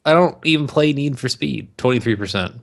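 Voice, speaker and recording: A man's voice: mid-range voice, lively intonation, brisk, gravelly. A man co-hosting a podcast in casual, crude banter about movies, with plenty of swearing. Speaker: podcast co-host.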